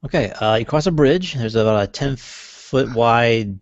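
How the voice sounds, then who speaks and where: A man improvising scene descriptions as game master, halting and hesitant as he goes. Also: medium pitch, lively intonation. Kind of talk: improvised tabletop game narration. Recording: dull, roomy lo-fi recording.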